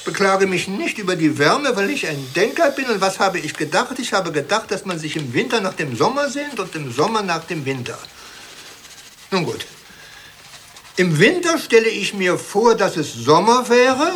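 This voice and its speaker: Pompous old academy president, touchy and theatrical, widely swooping delivery, faint noise, absurdist radio comedy. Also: male voice, high-pitched, big pitch swings, normal speed, fairly bright tone. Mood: musing. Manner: pompous, theatrical, self-interrupting. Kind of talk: absurdist comic radio play acting.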